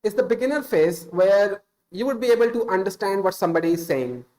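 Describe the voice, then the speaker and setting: A man's voice: high-pitched, lively intonation, brisk, dark tone, low-quality recording. A man in his thirties with an Indian accent, whose first language is Hindi, giving casual, unscripted English-speaking advice on a stream, earnest and full of small "uh"s and self-corrections.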